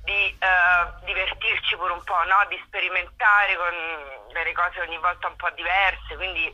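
Bright, husky high-voiced woman. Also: level tone, muffled recording. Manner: rambling, halting, with self-deprecating irony. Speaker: comic actress and writer, interview guest. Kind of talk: casual promotional interview.

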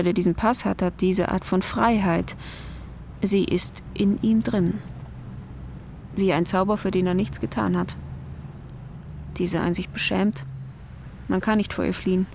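A woman's voice: mid-range voice, steady tone, unhurried, vocal fry, long pauses, a little mellow, a little hiss or hum. A woman reading scripted literary narration for a radio drama.

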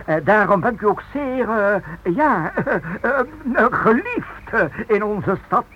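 A man's voice: sits high, big pitch swings, slow, heavily acted. A man acting in a radio drama as a deferential clergyman, stammering, effusive and often repeating himself. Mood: flustered, flattering. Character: deferential, flustered, flattering male clergyman. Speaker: actor. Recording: muffled, slightly noisy old radio recording.

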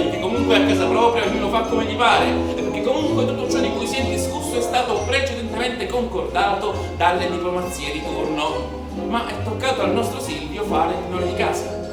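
Echoey, distant man declaiming a theatrical monologue. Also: sits high. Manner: declamatory, incantatory repetition; ironic, satirical. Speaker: stage actor and storyteller. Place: echoey performance space, music behind. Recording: echoey theatre, distant mic, music behind.

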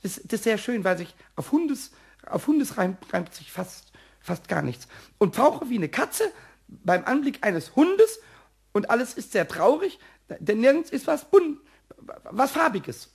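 A man playing a small, cheeky, stubborn kobold in a radio play, raspy and squeaky, stammering excitedly. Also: measured pace, exaggerated voice. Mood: sulky, musing. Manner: excitable, stammering, repeating words.

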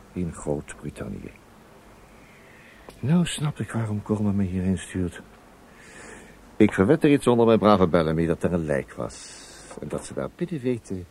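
An actor playing a courteous, quietly sly government investigator in a radio play, with a husky voice and a hesitant, musing way of questioning. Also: male voice, low voice, a little hiss or hum, exaggerated voice. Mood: musing, suspicious. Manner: hesitant, polite, musing, repeats himself. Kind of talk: Dutch radio drama acting.

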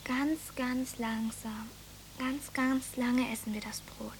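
A woman's voice: high voice, steady tone, slow pace, a little hiss or hum. An actress playing a frail, dreamy small village girl in a radio drama, with a simple, literal way of talking. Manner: simple, literal, echoing phrases. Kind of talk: German radio drama acting.

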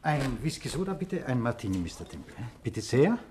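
Brisk, obsequious hotel waiter played by an actor. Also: male voice, medium pitch, sing-song, darkish tone, a little hiss or hum, close to an everyday voice. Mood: attentive, brisk. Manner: eager, deferential. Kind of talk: 1950s German radio drama acting.

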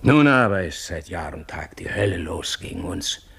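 Husky, deep, brooding king. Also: lively intonation, moderate pace, full character voice. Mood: agitated, suspicious. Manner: majestic, declamatory, with breathy hushed phrases. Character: aging, grandiose, brooding male king of Poland. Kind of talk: German radio play acting, poetic monologue.

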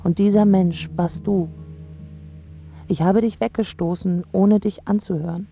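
Wounded young woman in love, radio drama, medium pitch, dulled sound, faint guitar music behind. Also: steady tone, a little slow, vocal fry, dull audio. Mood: tender, pleading. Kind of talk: radio drama acting, first-person narration.

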